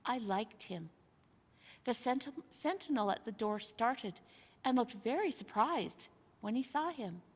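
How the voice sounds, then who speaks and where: Woman narrator, storybook reading, higher voice, long reverb, expressive and measured. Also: breathy edge. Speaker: reader. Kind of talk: storybook narration, read aloud.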